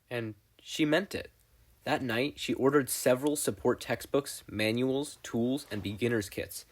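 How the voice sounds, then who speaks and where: A man reading fan fiction aloud as expressive scripted narration, with a playful, wry storytelling manner. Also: medium pitch, slightly rough. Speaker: podfic reader.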